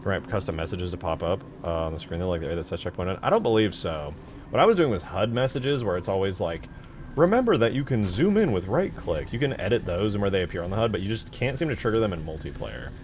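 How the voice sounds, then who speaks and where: A man giving casual livestream commentary as a game modder, informal and low-key, muttering to himself as he works. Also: animated, fast talker, noisy background. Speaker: game modder streaming his work. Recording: music behind the voice. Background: music.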